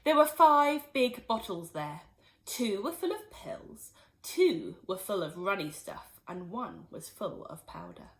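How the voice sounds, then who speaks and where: A woman teacher reading a children's story aloud in an expressive, animated way. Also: medium pitch, slow, rough recording.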